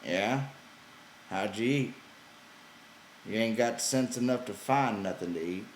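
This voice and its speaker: Man acting a gruff, weary slow-talking ranch hand. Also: lowish voice, echoey room, a little hiss or hum, pitched above the performer's own voice. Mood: exasperated. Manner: gruff, exasperated, folksy ungrammatical speech. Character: gruff, weary, irritable male ranch hand looking after companion.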